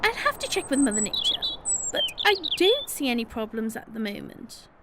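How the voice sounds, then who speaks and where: A woman performs an officious spirit of springtime with a high, wildly varied pitch. She speaks in careful, formal phrases like a chairperson. It is an amateur radio play, with clear noise and chirping birds behind her. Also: huge pitch swings, moderate pace. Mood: formal, composed. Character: Spring, prim orderly female season spirit chairing a meeting.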